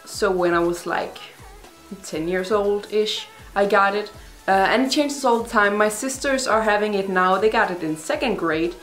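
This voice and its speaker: A woman's voice: medium pitch, normal speed, fairly bright tone. A young woman from Denmark, a native Danish speaker with a Danish accent, answering viewer questions in a chatty, rambling, self-deprecating vlog. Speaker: vlogger. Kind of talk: casual Q&A vlog. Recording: roomy echo, faint music behind.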